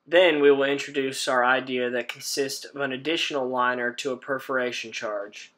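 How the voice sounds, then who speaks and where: A young male engineering student from Texas presents his team's project. He reads steadily from a prepared script, with a plain, mid-pitched voice and a level delivery. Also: fairly bright tone. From Houston, Texas. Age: young adult.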